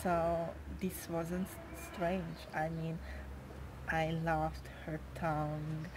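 Slow, low-voiced young Hungarian woman. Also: little pitch movement, noisy background. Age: 20s. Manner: hesitant, with frequent pauses and fillers. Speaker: interviewee. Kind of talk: casual interview answers.

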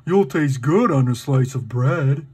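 Man putting on a big, lumbering, slow monster. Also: mid-range voice, expressive, darkish tone, breathy edge. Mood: menacing. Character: big lumbering monster, menacing yet gullible.

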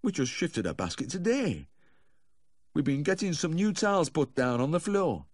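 High, wailing little Yorkshireman. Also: big pitch swings, moderate pace, a little mellow, no echo, studio quality. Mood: anxious pleading. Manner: wailing, quavering, gasping. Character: tearful, fretful little Yorkshireman, doting dog owner, tipsy. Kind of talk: audiobook character voice.

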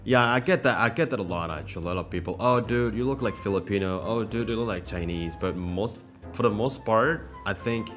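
Young Indonesian man, very deep voice, a little robotic, playful video chat host. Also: a little fast, hardly any pauses, fairly bright tone, soft background music. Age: young adult. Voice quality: low, slightly robotic-sounding voice. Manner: playful, laughs often, switches languages. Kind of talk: casual chat with strangers. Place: small room with shelves, indoors.

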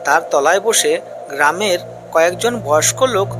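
A storyteller giving scripted narration for an animated village folk tale. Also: medium pitch, normal speed. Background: music.

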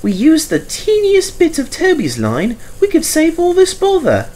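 A man's voice: extremely high pitch, wildly swooping pitch, unhurried, a little mellow, pitched above the performer's own voice, exaggerated voice. A man voicing a cheeky, defiant young female diesel engine in a storybook, with a whiny, protesting manner. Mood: sly, coaxing.

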